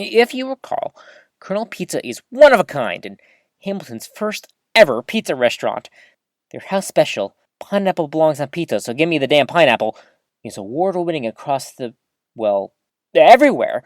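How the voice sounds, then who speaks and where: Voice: mid-range voice, no room sound, studio quality, natural voice. A performer playing an earnest, eager novice host of a small-town community radio show, chatty and folksy, talking straight to the listeners in an audio drama. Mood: chatty, dramatic. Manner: folksy, chatty, expressive, addresses listeners directly. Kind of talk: scripted audio drama as local radio broadcast.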